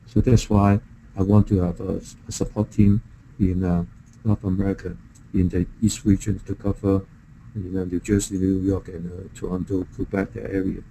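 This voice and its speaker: A middle-aged man with a Hong Kong accent talks through a business pitch in a deep-leaning, very soft-edged mellow voice. He is halting and often repeats a word before moving on. There is noticeable background noise on the call. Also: little pitch movement, vocal fry, poor audio. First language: Chinese. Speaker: software vendor presenting to a prospective partner.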